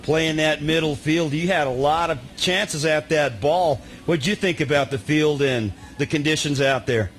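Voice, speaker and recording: Man, sports interviewer, fairly high pitch, chatty and welcoming, faintly noisy recording. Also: normal speed, hardly any pauses, a bit bright. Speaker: interviewer and host. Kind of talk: post-game sports media interview.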